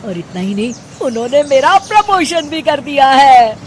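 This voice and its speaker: A woman's voice: high voice, big pitch swings, moderate pace, slight background noise, pitched above the performer's own voice. A woman voicing a childish, pampered young man in a cartoon family story. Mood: delighted, sorry.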